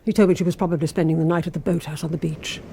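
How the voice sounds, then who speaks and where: A woman's voice: deep voice, steady tone, very fast, dark tone, slight background noise. An actress playing a sinister, obsessive housekeeper in a radio drama, insinuating and intimate, lingering on her phrases. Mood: brooding.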